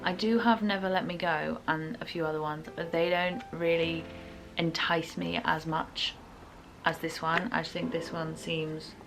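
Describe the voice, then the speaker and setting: A young woman talks casually about books to her viewers. Her voice sits fairly low and has a clear creak, with music playing behind it. She is chatty and informal, often hedging her opinions. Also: level tone, measured pace, rough recording. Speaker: book reviewer.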